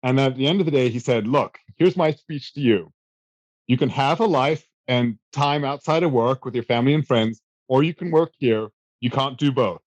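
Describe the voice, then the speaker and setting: Relaxed man telling stories, dry room. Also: medium pitch, normal speed, no echo, muffled recording. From South Africa. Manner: relaxed, self-deprecating storyteller with many fillers. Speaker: guest. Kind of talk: casual interview answers.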